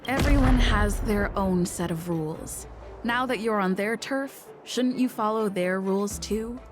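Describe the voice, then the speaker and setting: A woman's voice: medium pitch, measured pace, over music, lightly acted. An actress voicing a level-headed young woman traveler. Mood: reasoning. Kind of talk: game voice acting.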